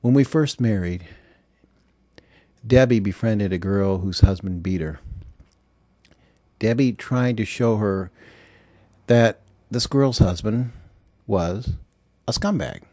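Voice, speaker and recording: A man playing a bitter, self-pitying middle-aged FBI agent who dictates confessions in a sardonic, deliberate way, in an audiobook. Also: on the low side, dark tone, no room sound. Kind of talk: dramatized audiobook monologue.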